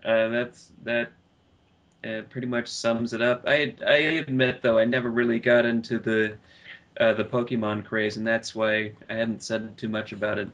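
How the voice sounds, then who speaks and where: A man's voice: mid-range voice, flat delivery, normal speed, fairly bright tone, lo-fi sound. A man chatting casually as a podcast panelist, hesitant and often repeating the start of his phrases. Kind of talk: casual film discussion.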